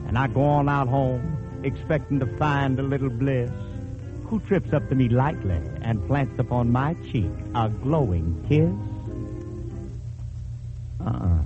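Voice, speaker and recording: A man's voice: medium pitch, a little slow, dull audio. A man delivering a drawling, folksy comic lament, half spoken, to an audience on an old radio recording. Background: music accompaniment.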